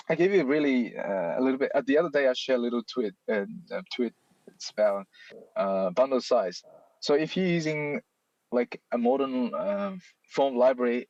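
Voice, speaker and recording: A man in his thirties with a Chinese accent, a guest talking with lively emphasis and frequent restarts. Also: mid-range voice, no room sound, muffled recording. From China. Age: 30s. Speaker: guest, software library author. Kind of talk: casual interview chat.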